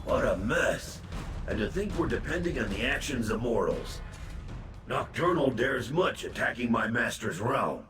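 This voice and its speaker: A man's voice: medium pitch, very animated, measured pace, music in the background. An actor playing a cocky, grumbling demon dog in a fantasy game, brash, wry and blunt in the way he talks. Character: cocky, grumbling male demon hound serving a trickster master. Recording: echoing, faint game ambience noise.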